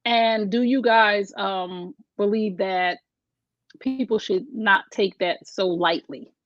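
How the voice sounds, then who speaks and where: A woman's voice: medium pitch, steady tone, slow pace, dry recording, highs cut off. A woman hosting a talk show, encouraging and emphatic toward her guests. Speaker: host.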